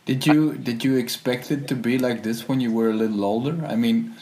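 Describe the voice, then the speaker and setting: A man in his early forties with a mid-pitched voice hosts a casual conversation. He is relaxed and easygoing, nudging his guest along with short questions. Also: a little fast. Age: 40s. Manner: relaxed, brief affirmations.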